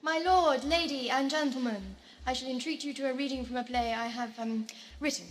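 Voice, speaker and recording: A woman's voice: high voice, fairly quick, slight background noise, natural voice. A woman playing a cynical, defiant space raider in an audio drama, curt and prickly. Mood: formal, nervous. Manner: curt, prickly, self-possessed. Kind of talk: amateur audio drama acting.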